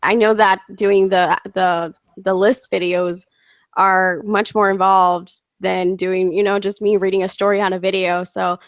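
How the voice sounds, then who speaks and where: A woman hosting a casual interview, speaking in a measured, supportive way. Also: medium pitch, flat delivery, no echo. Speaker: interviewer, story narrator.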